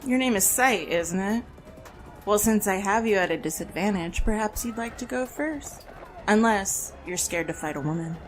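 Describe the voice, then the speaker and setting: A woman's voice: mid-range voice, animated, moderate pace, faint music behind, close to an everyday voice. A woman playing a confident, dry-witted female fighter in an audio drama. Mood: taunting.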